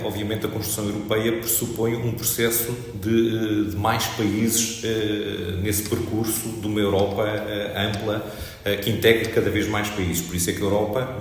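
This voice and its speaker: A low-pitched middle-aged man from northern Portugal explains economics as an interview guest, careful and unhurried, with many small hesitations. His voice rings in a bright, reverberant room with a long tail. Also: slight background noise. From Portugal, Trás-os-Montes. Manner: measured, explanatory, with frequent hesitations. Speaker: economics professor, podcast guest. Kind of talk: academic interview answer.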